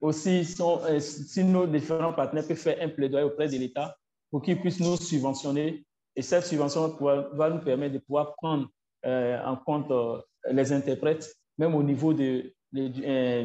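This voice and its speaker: A man answering in a webinar interview, halting and repeating himself as he searches for words. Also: medium pitch, warm tone. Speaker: association leader guest. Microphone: video call. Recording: echoey, muffled online call audio.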